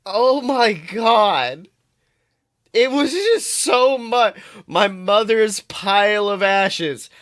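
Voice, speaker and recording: A man co-hosting a podcast in casual comedic chat, swearing freely with animated exclamations. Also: high voice, extremely slow. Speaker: podcast co-host.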